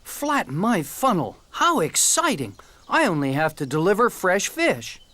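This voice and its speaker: A woman's voice: mid-range voice, wildly swooping pitch, unhurried, a bit bright, no echo. A woman voicing a friendly, exclamatory male steam engine in a children's cartoon. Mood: cheerful. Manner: cheerful, exclamatory. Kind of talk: children's cartoon character acting.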